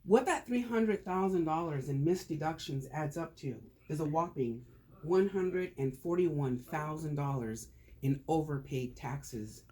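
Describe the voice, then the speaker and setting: A man's voice: fairly high pitch, a little mellow. A man talking casually to a small group, then presenting on taxes with jokes and rhetorical questions. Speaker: tax advisor giving a talk.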